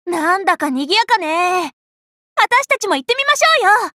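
A young female Buddhist monk master from an anime game, with a very high, bright voice that leaps around in pitch. She is cheerful, bossy and a little scatterbrained. Her delivery is childlike and boastful, full of exclamations. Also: big pitch swings, moderate pace, dry recording, studio quality, full character voice. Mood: eager, instructive. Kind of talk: Japanese anime game character voice lines.

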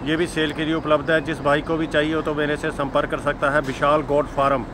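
A man from Himachal Pradesh walks through his goat farm and shows the animals one by one. He speaks in a fairly high, monotone voice, going over the same selling lines again and again. Clear outdoor noise from passing vehicles runs under the recording. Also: a little fast. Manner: repetitive sales pitch, addresses viewers as friends. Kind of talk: walk-around sales video.

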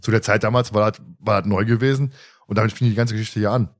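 A man's voice: lowish voice, fairly even intonation, fast talker. A man in his thirties from Germany co-hosting a podcast in casual, colloquial, teasing chat. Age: 30s. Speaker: podcast host.

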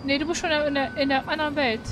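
A woman's voice: high-pitched, level tone, fast talker, over a noisy crowd. A woman chatting casually as co-commentator during a video game stream. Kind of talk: casual chat during gameplay. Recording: noisy stream with game sound behind.

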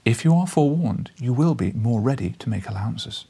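A man voicing a small, vivacious, anxious wife in an audiobook, giving urgent, brisk warnings. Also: mid-range voice, animated, warm tone, slightly rough.

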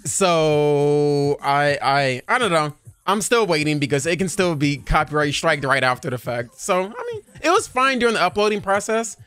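A young man hosting a casual anime reaction on a headset mic in a home streaming room, animated and quick to laugh. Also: a little high, smooth, no room sound. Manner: animated, laughs often, talks fast in bursts.

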